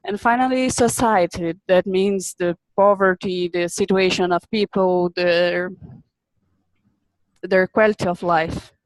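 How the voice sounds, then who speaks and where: A young woman from Brazil, a business student, presenting a webinar in a halting way, often repeating words as she searches for them. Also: on the low side, fairly even intonation, no echo, low-quality recording.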